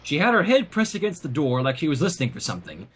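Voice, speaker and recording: A man reading a story aloud in an expressive narrating style, with casual asides. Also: fairly high pitch, quick pace, slightly rough. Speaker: fan fiction reader.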